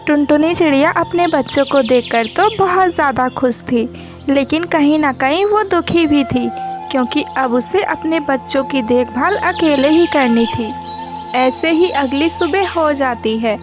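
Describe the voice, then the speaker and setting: A woman's voice: sits high, a little slow. A woman narrating a scripted children's bird story as the storyteller. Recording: music behind the voice.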